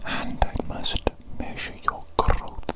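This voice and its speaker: A woman's voice: slow, natural voice. A woman whispering an ASMR roleplay as a pompous, condescending evil doctor, close to the microphone. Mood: soothing. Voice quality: whispering throughout. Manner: haughty, self-important, easily exasperated.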